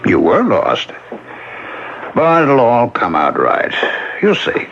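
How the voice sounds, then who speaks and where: An actor in an old radio drama playing a gentle, wistful older man with a hesitant, reassuring way of talking. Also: medium pitch, wildly swooping pitch, takes it slowly, gravelly, full character voice. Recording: muffled, noisy 1970s radio broadcast recording.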